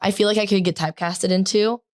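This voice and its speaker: A teenage girl co-hosting a podcast in casual chat, talking loudly and rambling with lots of "like" fillers. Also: mid-range voice, steady tone, fast, no room sound, clean studio sound. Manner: loud, projecting, rambling with many "like" fillers. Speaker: podcast co-host.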